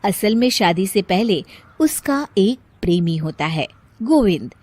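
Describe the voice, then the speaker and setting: A woman narrating a scripted cartoon story as an expressive storyteller. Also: medium pitch, measured pace, no echo.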